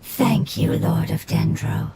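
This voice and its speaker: A woman playing a solemn, devoted otherworldly creature in a video game, with a breathy, whispery voice. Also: takes it slowly, background noise, natural voice. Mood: reverent, grateful. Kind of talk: scripted video game voice acting. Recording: loud music behind the voice.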